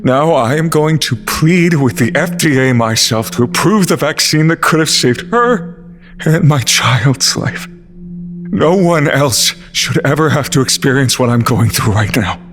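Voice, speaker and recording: A man's voice: on the high side, expressive, a little slow, fairly bright tone, slight background noise. A man playing a grieving, determined news reporter in an audio drama. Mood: numb, determined. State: grief-stricken.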